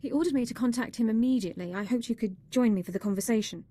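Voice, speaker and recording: An actress playing a dutiful, formal young military medic in a video game, speaking precisely and deferentially. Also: female voice, a little high, racing, warm tone, close to an everyday voice. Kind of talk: video game dialogue acting.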